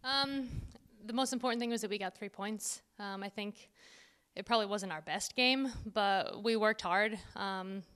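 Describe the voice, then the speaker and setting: A young woman, a player giving a relaxed post-match interview, with frequent "um" and "I mean". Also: mid-range voice, measured pace, clean tone. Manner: relaxed, filler-laced. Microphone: handheld mic held by interviewer.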